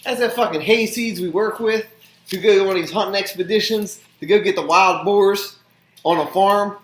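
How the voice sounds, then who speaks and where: A man's voice: sits high, normal speed, a bit bright. A man hosting a loose podcast, rowdy and swearing, often breaking into laughs. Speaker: podcast host. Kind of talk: loose profane show hosting.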